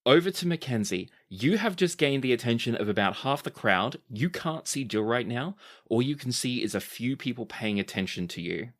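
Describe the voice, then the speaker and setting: A man running a tabletop game as game master, narrating the scene and asking for dice rolls. His voice is fairly low and swings widely in pitch, so his storytelling sounds lively. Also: normal speed.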